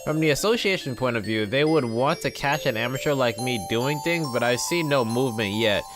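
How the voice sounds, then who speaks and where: Man reading game text aloud, lively pitch. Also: brisk, over music. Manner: informal, reacts to the text he reads. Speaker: gameplay video host. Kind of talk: reading visual novel text aloud with casual asides.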